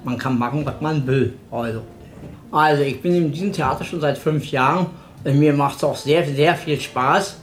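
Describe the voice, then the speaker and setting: Male actor, chatty interviewee, slightly high voice, tends to repeat himself. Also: normal speed, slight background noise. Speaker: theatre actor. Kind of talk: casual interview talk.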